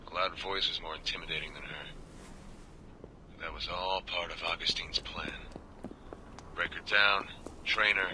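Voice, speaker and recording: An actor playing a world-weary, remorseful soldier in a game audio log, speaking in a breathy half-whisper with a blunt, brooding, confessional manner. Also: male voice, low voice, background noise, close to an everyday voice. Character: world-weary, remorseful male soldier recounting past captures.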